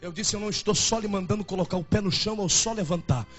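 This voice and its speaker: A man's voice: high voice, fast talker, faint music behind. A young man in his twenties with a Brazilian Portuguese accent, preaching a fervent and emotional sermon as a pastor. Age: 20s.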